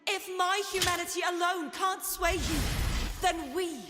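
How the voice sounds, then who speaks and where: A high-voiced, resolute heroine. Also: female voice, steady tone, a little slow, long reverb tail, over music. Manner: solemn, earnest, impassioned. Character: earnest, resolute young heroine standing for humanity. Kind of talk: dramatic video game acting.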